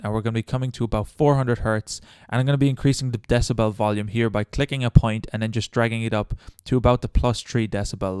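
Fast-talking man with a clean, clear voice. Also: mid-range voice, darkish tone, no room sound, clean studio sound. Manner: chatty, informal. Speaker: tutorial host. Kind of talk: casual step-by-step software tutorial narration. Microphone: good close microphone, voice-over.

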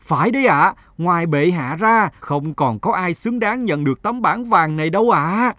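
A man playing an obsequious, formal courtier who flatters his emperor in a cartoon fable. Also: high voice, normal speed, no echo. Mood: fawning. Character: fawning adult male courtier flattering the emperor.

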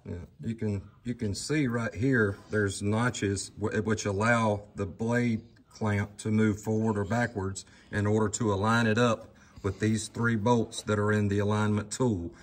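A man's voice: on the low side, flat delivery, unhurried. A man co-hosting a workshop demonstration, speaking briefly and matter-of-factly as he adds corrections, recorded in an open barn. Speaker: co-host. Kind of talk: casual how-to demonstration.

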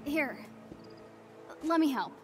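A woman's voice: high voice, lively intonation, takes it slowly, music in the background. A young woman with an American accent playing an eager, awkward teenage girl hero in a video game, chatty and informal. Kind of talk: naturalistic video game acting.

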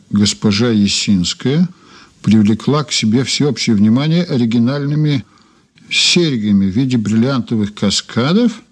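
An actor playing a brisk, wryly intrigued male investigator in a Soviet radio drama, reading deliberately and expressively. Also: medium pitch, slightly rough. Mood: curious, intrigued.

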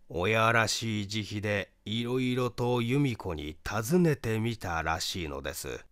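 A man acts out a reserved, grieving brother in a mystery audiobook. His voice is low and very slow, polite and hesitant. The sound is dry and close. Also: no room sound. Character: reserved, grieving young man recalling his dead sister. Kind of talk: audiobook character dialogue.

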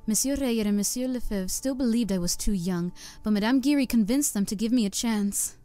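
A woman's voice: on the high side, brisk, warm tone, lightly acted. A woman voicing an earnest young opera chorus singer who reflects on her past, in a fan audio drama with faint music behind.

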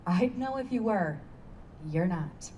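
A woman's voice: medium pitch, lively intonation, takes it slowly, dark tone. A woman in her thirties in a staged play reading, playing a blunt, dismissive, seductive lover with a casual assurance. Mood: insistent, blunt. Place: stage before a painted block wall. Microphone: handheld microphone. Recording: distant stage pickup with ambient noise.

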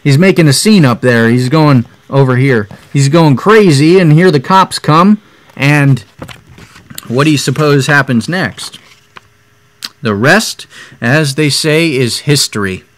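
A man's voice: a little high, measured pace. A young man reading a picture book aloud expressively, with casual asides. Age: young adult. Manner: expressive, dramatizing the story. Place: room at home, indoors.

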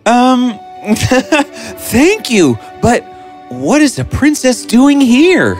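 A woman's voice: on the high side, huge pitch swings, very slow, darkish tone, faint music behind, heavily acted. A woman voicing a humble, polite young man in a fairy tale, speaking with earnest hesitance. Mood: humble, hesitant.

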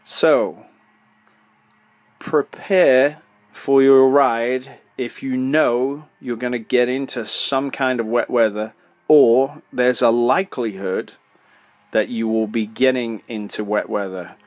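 A middle-aged podcast host giving practical, direct advice, often asking himself questions and then answering them. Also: male voice, medium pitch, steady tone, takes it slowly, no echo. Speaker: podcast host, motorcycle suspension tuner. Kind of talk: solo podcast advice talk.

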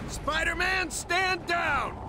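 An actor playing a stern male police officer shouting orders in a video game cutscene. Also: very high-pitched, takes it slowly, background noise. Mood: commanding. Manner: commanding, shouting.

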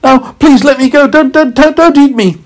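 A man putting on a squeaky, frightened talking carrot. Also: normal speed. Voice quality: squeaky and pleading.

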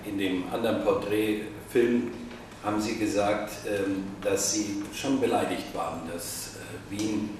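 An older man interviewing a guest in a café, asking long, halting questions full of fillers. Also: on the low side, fairly even intonation, background noise. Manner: hesitant, many fillers. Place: crowded café, indoors. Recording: echoey room from far off.

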